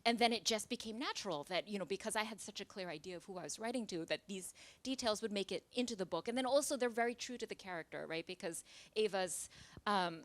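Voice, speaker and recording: A woman novelist from Singapore speaks with a middle-range pitch in a dry, close sound. She works through her thoughts aloud, with fillers and restarts, at a library talk. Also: fast talker, no echo. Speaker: novelist guest. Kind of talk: conversational author talk. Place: library event space with live audience.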